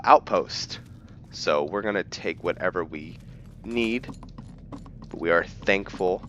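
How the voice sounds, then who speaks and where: A man narrating a roleplay game playthrough in a casual, halting way, stumbling over words, on a dull mic. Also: sits low, fairly bright tone, a little hiss or hum. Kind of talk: casual gameplay commentary mixed with in-character journal reading.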